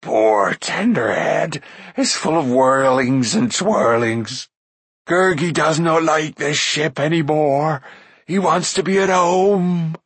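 A man voicing a frightened, childlike shaggy creature, wailing pitifully and drawing his words out slowly. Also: fairly high pitch, a bit bright, slightly rough, no room sound, voice pushed up, full character voice. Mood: miserable.

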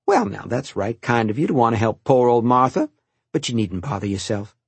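A man's voice: medium pitch, animated, fairly quick, vocal fry, slightly rough, dry recording. A man voicing a cheerful, teasing old woman who works as the family cook, speaking in folksy rural dialect. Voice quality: rural dialect grammar, chuckling warmth.

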